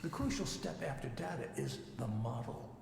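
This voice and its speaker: A man voicing a composed tech project psychologist in a video game, explaining things in a measured, lecturing way. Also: mid-range voice, expressive, vocal fry, noisy background. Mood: measured, assured. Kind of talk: scripted game dialogue, lecturing. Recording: echoey hall with long reverb.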